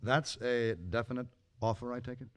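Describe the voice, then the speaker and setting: An actor playing a calm, principled gunfighter for hire in an old radio western, speaking in a measured, dry, quietly menacing way. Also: male voice, mid-range voice, natural voice. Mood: calm, firm.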